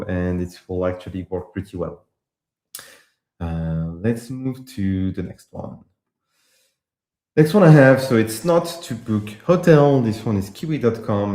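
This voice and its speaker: A man from France with a French accent, casually walking through a live software demo, with many pauses and restarts. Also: low-pitched, reverberant. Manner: casual, hesitant with frequent uh and restarts. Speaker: presenter demoing apps. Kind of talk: live tech demo walkthrough.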